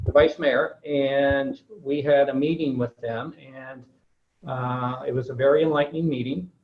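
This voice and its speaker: A man's voice: mid-range voice, fairly even intonation, measured pace, phone-quality sound. Folksy American man telling a story. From United States. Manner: folksy, hesitant with many fillers. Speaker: guest speaker. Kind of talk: casual anecdote to a class.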